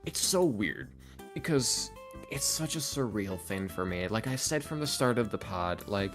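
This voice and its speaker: A man chatting casually as a podcast guest, animated and halting, often repeating himself as he goes. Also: lowish voice. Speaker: podcast guest, ASMR roleplay creator and voice actor. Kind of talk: casual interview chat. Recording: music playing behind the voice. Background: background music.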